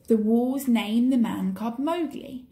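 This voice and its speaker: A woman's voice: on the high side, slow, dark tone. A woman reading a children's story expressively, like a teacher to pupils.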